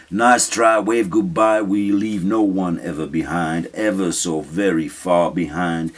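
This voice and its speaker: Low-voiced man reciting spoken-word poetry. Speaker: writer reading own spoken-word piece.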